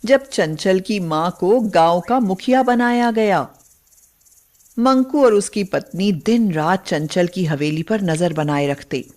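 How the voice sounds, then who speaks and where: A woman tells a scripted moral tale as its narrator. Her pitch is mid-range and her pace is markedly slow, with deliberate, expressive phrasing that suits an animated story.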